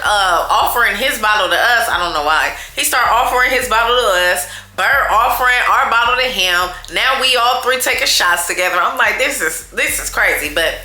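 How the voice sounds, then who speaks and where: A woman telling a storytime to her viewers in a loud, chatty, animated way, talking straight to them as y'all. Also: medium pitch, moderate pace, hardly any pauses, reverberant. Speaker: storytime vlogger.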